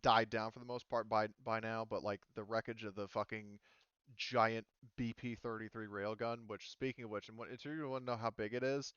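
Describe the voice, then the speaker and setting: A man with a smooth, slightly low voice hosts a tabletop roleplaying game, improvising as he goes. He talks informally and swears mildly. The audio is dry and a little dull, like a close mic on voice chat. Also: a bit bright, no echo. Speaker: game master.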